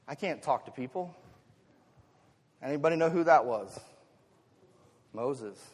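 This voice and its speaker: A man's voice: mid-range voice, animated, unhurried, husky. An American man preaching a sermon as a pastor, moving between rhetorical questions, conversational asides and emphatic swells. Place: large reverberant church hall. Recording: long hall reverb.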